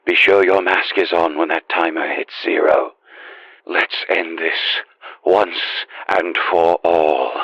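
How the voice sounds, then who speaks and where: Man acting a low, husky game guide on radio. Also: fairly even intonation, takes it slowly, fairly bright tone, no room sound, muffled recording, full character voice. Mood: grave, watchful. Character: grave, urgent male guide helping the player. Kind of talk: video game radio guidance lines.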